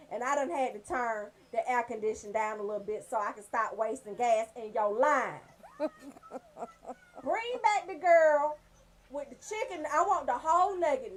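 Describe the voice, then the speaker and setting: A woman doing a casual, comedic rant as a radio host, in a brash, profane, storytelling way. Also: very high voice.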